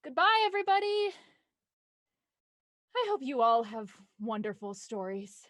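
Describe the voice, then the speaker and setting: A woman's voice: on the high side, sing-song, unhurried, fairly bright tone, dry recording, studio quality. A woman playing an anxious twenty-four-year-old writer finding her nerve, in a naturalistic, hesitant audio drama performance. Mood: hesitant, sincere. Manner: hesitant, earnest.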